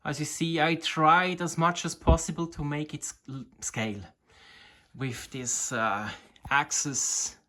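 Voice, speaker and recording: A man in his forties, a Swiss German native speaker with a Swiss German accent, hosting a casual hobby video in halting English with many fillers as he searches for words. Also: on the high side, steady tone, sharp tone. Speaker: model rocket hobbyist, channel host. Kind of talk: casual show-and-tell video.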